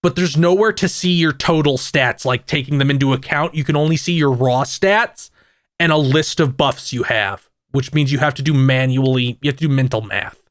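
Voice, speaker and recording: A man co-hosting a casual gaming podcast, talking in a rambling way with frequent restarts and quick asides. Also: medium pitch, dry recording. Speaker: podcast co-host.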